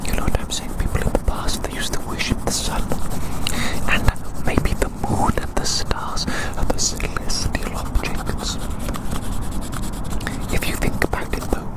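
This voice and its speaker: Someone whispering softly and slowly to you as their little creature in an outdoor ASMR video, repeating each gentle tease as they shelter you in a city park. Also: low-quality recording. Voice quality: soft, breathy unvoiced whisper. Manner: slow, repeats phrases, gently teasing. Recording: close whisper, windy outdoor recording.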